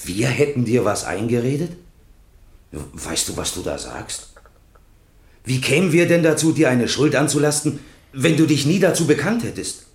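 A man's voice: mid-range voice, expressive, moderate pace, natural voice. An actor playing an earnest, reproachful male lay defender in a German radio crime drama. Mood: indignant. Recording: roomy, echoing courtroom sound.

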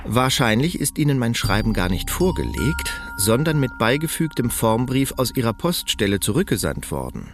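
Persistent idealist scholar: eager, stumbling over fillers, animated pitch. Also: male voice, normal speed, few pauses, over music. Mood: formal, pleading. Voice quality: hesitant, with frequent "äh" fillers. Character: earnest, persistent male polyglot idealist. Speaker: private scholar petitioning officials. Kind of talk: radio drama acting.

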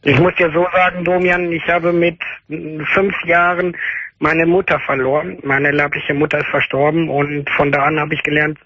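A man's voice: on the high side, little pitch movement, normal speed, background noise. A man calling a radio show, earnestly and ramblingly telling about his troubled relationship. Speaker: caller. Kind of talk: emotional phone confession. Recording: dull phone-in radio sound.

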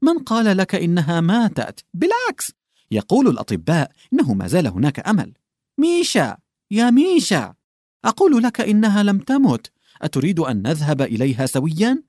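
A man's voice: on the high side, huge pitch swings, fast, a little mellow, dry recording, clean studio sound, pitched above the performer's own voice. A man doing a flustered old official. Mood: exasperated. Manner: fumbling, backtracking, stammering with "ah". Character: bumbling old male official, coughing, tactless.

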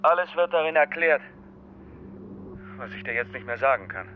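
A man's voice: medium pitch, expressive, moderate pace. An actor playing a man leaving an urgent, confessional message, heard through an answering machine in a radio play. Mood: grave, urgent. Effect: answering machine tape playback. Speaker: actor in a radio crime drama. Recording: dull answering machine tape, faint car noise.

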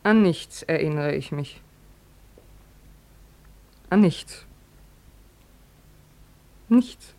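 A woman's voice: sits low, drawn-out words, pauses often, darkish tone, heavily acted, rough recording. An actress playing a proud, weary young woman, curt and guarded, in a 1950s German radio play. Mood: weary, pained.

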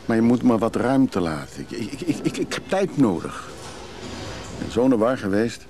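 An actor playing a restless, evasive recovering drinker in a radio play, hesitant and confiding. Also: male voice, mid-range voice, sing-song, normal speed, noisy background. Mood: uneasy, confessional. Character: restless recovering drinker, evasive man who craves freedom. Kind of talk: naturalistic Dutch radio drama acting.

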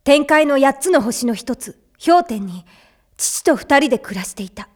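A woman voicing a defiant, hot-headed teenage boy hero in an anime drama, with brash, rough boyish speech. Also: high-pitched, animated, unhurried. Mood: solemn narrating. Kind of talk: anime voice acting.

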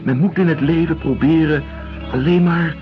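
A man's voice: on the high side, steady tone, moderate pace, lightly acted. A man playing an ailing, idealistic doctor in a radio drama, insistent and dismissive about his illness. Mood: fervent, insistent. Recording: dull radio recording with music behind.

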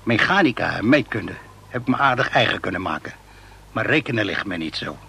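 An actor playing an eccentric, opinionated inventor in a radio play, chatty and indignant, with emphatic rhetorical delivery. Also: male voice, on the low side, animated, fairly quick, creaky, bright, forceful tone, a little hiss or hum, exaggerated voice. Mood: sheepish. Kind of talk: Dutch radio play dialogue.

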